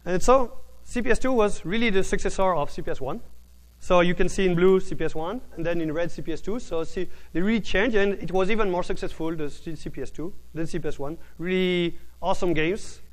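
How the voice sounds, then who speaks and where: A man's voice: high-pitched, fairly quick, rough recording. A man giving a technical conference talk, often restarting his words, with a voice he calls bored. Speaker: conference speaker.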